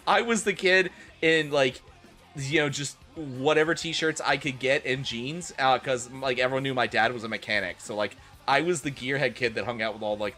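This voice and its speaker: A man's voice: mid-range voice, pushed and bright. A man livestreamer doing a theatrical, joking dramatic reading with casual, profane asides to his chat, over music. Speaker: livestreamer and host.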